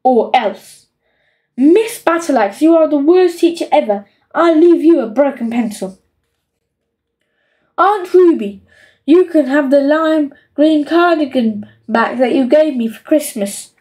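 A woman's voice: high-pitched, lively intonation, takes it slowly, darkish tone, recorded from a distance. A woman voicing a greedy, bossy young boy in a storybook, gleefully insulting and emphatic. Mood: scornful. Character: bossy, greedy, scheming young boy dictating his will.

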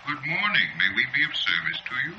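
An actor playing an officious, fussily polite undertaker with a plump, rounded voice, in 1940s radio style. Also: male voice, medium pitch, sing-song, fast talker, pushed and bright. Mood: unctuous. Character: officious funeral parlor undertaker, unctuous, easily exasperated. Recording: muffled, very noisy old radio recording.

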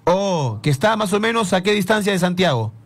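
Male sports radio host, high voice, chatty and meandering. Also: fairly quick. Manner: lively, rambling questions with many restarts. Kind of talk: live radio sports interview. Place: radio studio.

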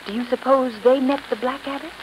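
Female actor, curious detective's companion, fast-talking, high-pitched, 1930s radio drama, slight hiss. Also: fairly even intonation, close to an everyday voice. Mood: concerned, curious. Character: inquisitive young lady companion of a detective. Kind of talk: 1930s radio mystery acting. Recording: faintly noisy 1930s radio recording.

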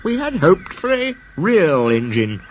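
A man's voice: on the high side, wildly swooping pitch, unhurried, a little hiss or hum, pitched above the performer's own voice. A man voicing a proud, firm male steam engine who speaks respectfully to his boss.

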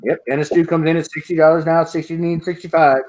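Guy running an online auction over a video call, voice a bit high, repeats the bids over and over, earbud mic. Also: fast, rough recording. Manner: steady repetitive bid calling, laughs often. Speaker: auctioneer host. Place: home room with sports memorabilia. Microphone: earbud headset on video call.

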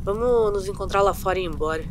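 A woman's voice: mid-range voice, fast talker, natural voice. Woman acting anxious, sweary young Brazilian ghost hunter. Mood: frightened. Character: nervous, irritable young companion in a haunted house. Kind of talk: audio drama acting, colloquial dialogue. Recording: noisy ambience behind voice.

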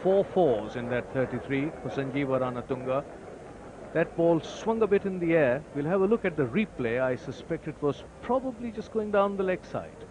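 A man giving ball-by-ball cricket commentary, lifting his voice sharply when wickets fall. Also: fairly high pitch. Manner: excited rises on wickets, measured otherwise. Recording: noisy old broadcast tape.

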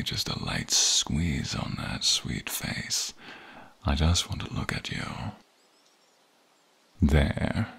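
Man playing a slow, gravelly, seductive dark archmage. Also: very deep voice, exaggerated voice. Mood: possessive, seductive. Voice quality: husky, breathy, gravelly. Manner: slow, teasing, smug, drawn-out murmurs. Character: alluring, menacing male archmage, smugly toying with captive. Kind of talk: ASMR fantasy roleplay.

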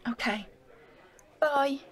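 A woman's voice: sits high, drawn-out words. A woman playing an anxious, apologetic, reluctant accomplice in an audio drama, hesitant and unsure. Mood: flustered.

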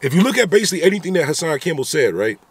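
A man's voice: a little high, big pitch swings, racing, slightly rough. A man in his thirties talking animatedly into a phone camera inside a car, giving his opinions in a vlog with repeated rhetorical asides. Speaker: vlogger. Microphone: phone camera at arm's length.

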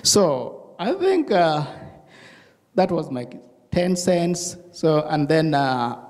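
A middle-aged man from Tanzania with an East African accent, recalling his life haltingly into a handheld microphone in a reverberant church. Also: a little high, very animated, a little mellow. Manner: halting, pausing often. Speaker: church member being interviewed. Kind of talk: personal recollection in an interview. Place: indoor church sanctuary.

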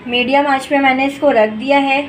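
A woman's voice: high voice, steady tone, brisk. A woman, hosting a home cooking video and explaining each step to her viewers in a homely, instructive way, recorded on a phone over the stove in her kitchen. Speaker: cooking channel host. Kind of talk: home cooking tutorial narration. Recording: noisy with frying sizzle behind. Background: sizzling and stirring in a pot.

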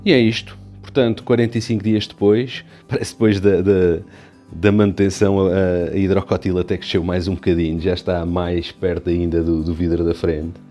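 A man's voice: deep voice, flat delivery, normal speed, mellow, soft background music. A Portuguese man with a European Portuguese accent, chatting casually and rambling a little as he walks through an update of his home aquarium. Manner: chatty, rambling, many restarts and fillers. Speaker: aquarium hobby youtuber. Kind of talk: casual walkthrough narration.

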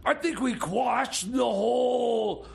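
Man playing creaky, high-pitched, stammering shady mechanic. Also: slow pace, exaggerated voice. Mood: nervous, evasive. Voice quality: creaky, gravelly. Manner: stammering, repetitive, rambling. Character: nervous, scheming male time machine mechanic, shady sidekick. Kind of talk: improvised comedy radio theater.

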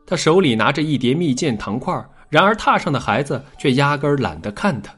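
A man reads a dramatic audiobook with a wide, lively swing in pitch. His voice is slightly husky, and his delivery is measured and expressive. Soft music plays behind him. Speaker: audiobook narrator. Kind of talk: scripted dramatic story narration.

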